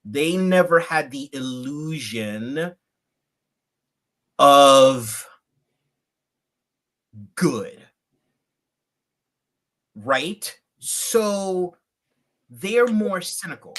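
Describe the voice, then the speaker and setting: A man in his fifties talking on a video call, building his points in a measured, deliberate way. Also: fairly high pitch, lively intonation, a bit bright. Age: 50s. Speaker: guest. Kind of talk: reflective livecast conversation.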